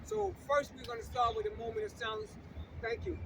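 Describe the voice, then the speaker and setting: A man's voice: extremely high pitch, very animated, a little fast. Older man giving a fiery rally speech. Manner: emphatic, repeats lines for the crowd to echo. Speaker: rally speaker and campaign co-director. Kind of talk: impassioned protest rally speech. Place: outdoors on a city street. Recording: distant mic with loud street traffic.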